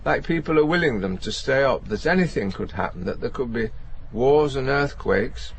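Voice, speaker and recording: Radio play, elderly man: shy, anxious reluctant celebrity, plain-spoken, with a gravelly edge. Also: medium pitch, expressive, normal speed, slight background noise. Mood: quietly resolute. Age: older. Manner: hesitant, plain-spoken, understated. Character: lonely, anxious, gentle old man, reluctant local celebrity. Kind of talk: naturalistic radio play acting.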